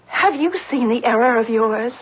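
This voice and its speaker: A woman's voice: fairly high pitch, lively intonation, moderate pace, husky. An actress playing a reproachful, moralizing woman, earnest and accusing, in an old-time radio drama. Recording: muffled old radio broadcast.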